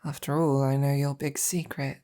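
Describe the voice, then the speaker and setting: A woman's voice: a bass voice, level tone. A woman playing a devoted, tender female knight in an intimate audio roleplay, speaking to her princess in a hesitant, earnest manner. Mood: gently teasing. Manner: hesitant, halting, softly earnest. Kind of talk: intimate scripted roleplay monologue to the listener.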